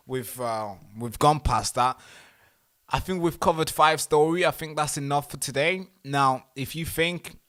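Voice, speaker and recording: A man hosting a casual livestream, talking in an animated, indignant way with mocking asides. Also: on the high side. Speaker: livestream host.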